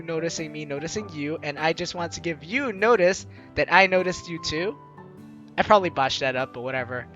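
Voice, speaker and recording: A man's voice: high voice, a bit bright, highs cut off. A man hosting a gaming video, playfully mixing jokey commentary with theatrical read-aloud narration.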